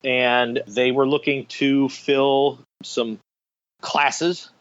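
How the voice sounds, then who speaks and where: A man's voice: medium pitch, flat delivery, extremely slow, fairly bright tone, lo-fi sound. A middle-aged man telling anecdotes enthusiastically as an interview guest, in a conversational storytelling style. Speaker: guest, radio theater director.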